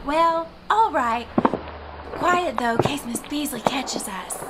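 A woman's voice: high-pitched, lively intonation, takes it slowly, very noisy. A woman playing a coy, giggly young sweetheart on a date in a pulp radio parody. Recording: loud music behind the voice.

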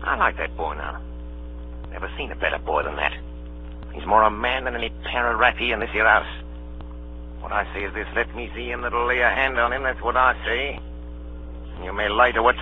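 An actor playing a sly older pirate ringleader who wheedles and bullies in salty sailor talk, in old radio drama style. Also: male voice, on the low side, a little fast, pauses often, natural voice. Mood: menacing. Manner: wheedling then bullying, salty sailor idiom. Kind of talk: 1930s radio drama acting. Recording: dull old radio broadcast, music, hum.

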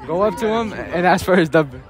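A young man doing playful street interviews outdoors with a handheld mic, loud and teasing, laughing often. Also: high voice, animated, fast talker, poor audio. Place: outdoor school courtyard with crowd. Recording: crowd noise and music behind.

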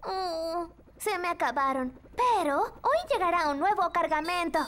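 A woman's voice: very high voice, lively intonation, a little slow, a bit bright, a little hiss or hum, full character voice. A woman voicing a perky, proud, over-organized little girl shopkeeper in a cartoon, fussy and eager. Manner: fussy, eager, insists everything is under control. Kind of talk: animated cartoon dub acting.

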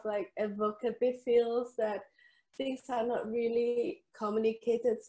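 A young woman with an Indonesian accent, a novelist answering interview questions thoughtfully over a video call. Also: fairly high pitch. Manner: soft, thoughtful, frequent ums and laughs. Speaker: novelist guest. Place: indoors room at home. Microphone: headphones on video call. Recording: echoey video call audio.

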